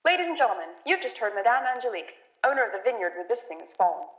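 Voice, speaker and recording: A woman's voice: on the high side, big pitch swings, rapid-fire. A woman acting an earnest, eager radio field reporter in a stage play of a news broadcast. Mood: impatient, urgent. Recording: dull, roomy, echoey stage recording.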